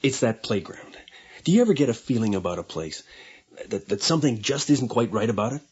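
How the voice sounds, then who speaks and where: Anxious American father, radio drama, halting and defensive, slightly rough voice with very animated pitch. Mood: defensive, uneasy. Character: anxious, troubled father of a small boy. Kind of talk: naturalistic radio drama acting.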